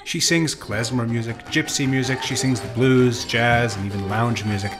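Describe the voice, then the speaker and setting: Man narrating over loud music. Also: mid-range voice, steady tone, normal speed. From grew up in New York City. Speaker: bandleader and composer.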